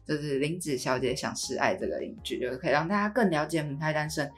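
A woman's voice: low voice, fast talker, soft background music. A woman with a Taiwanese Mandarin accent hosting a podcast in a casual, conversational way. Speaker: podcast host.

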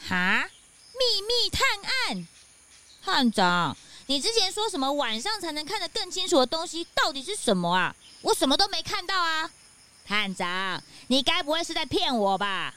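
A woman playing an excitable young sidekick. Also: sits high, a little hiss or hum, heavily acted. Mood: puzzled. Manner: excitable and exclamatory, quick to whine. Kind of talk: children's radio drama acting.

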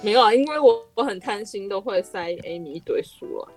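A woman's voice: medium pitch, expressive, brisk, soft background music. A woman with a Taiwanese Mandarin accent hosting a podcast in casual, conversational talk. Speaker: podcast host.

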